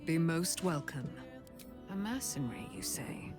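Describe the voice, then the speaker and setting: A woman's voice: low-pitched, very animated, measured pace, over music. A woman playing a proud, righteous warrior dame in a fantasy video game, with lofty, formal, declamatory delivery. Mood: resolute.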